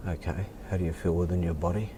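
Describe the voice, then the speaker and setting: Deep-voiced, soft-spoken Australian man. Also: fast talker, noisy background. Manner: calm, directive, short simple phrases. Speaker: hypnotist practitioner. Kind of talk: hands-on hypnosis session, giving instructions and questions.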